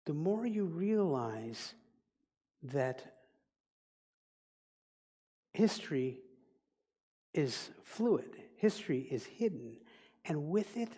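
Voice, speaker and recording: A man with a Dutch accent, a native Dutch speaker, giving a reflective sermon as a minister in a deliberate, teaching manner. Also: on the high side, mellow, slightly rough. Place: church sanctuary. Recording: long reverb of a large hall.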